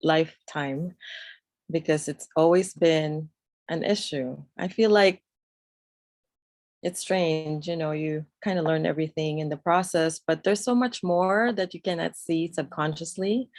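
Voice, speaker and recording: A woman with a low voice talks as a guest over a video call. She is reflective and pauses to find her words. The sound is dry and close. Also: a little mellow, dry recording. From New York.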